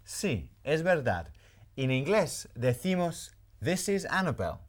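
Female lesson host, very deep voice, lively intonation, clear deliberate delivery. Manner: clear, deliberate model pronunciation. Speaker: language teacher host. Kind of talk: bilingual English lesson presenting.

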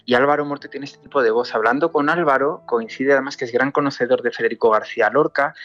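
A man's voice: mid-range voice, quick pace, a bit bright, muffled recording. A man from Andalusia who is a film director, answering interview questions thoughtfully, with frequent restarts and fillers.

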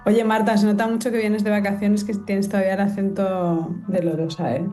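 A woman's voice: medium pitch, flat delivery, a little fast, dark tone, soft background music. A woman co-hosting a radio show, teasing her colleague and telling a story in casual chat. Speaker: radio co-host. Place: radio studio.

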